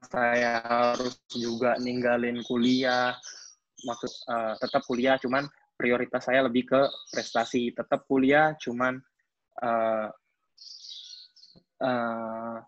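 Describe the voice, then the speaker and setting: A man's voice: mid-range voice, no echo, dull audio. A man in his twenties giving a casual personal account at a coaching clinic, hesitant, with frequent fillers and restarts. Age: 20s. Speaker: athlete speaking at a coaching clinic.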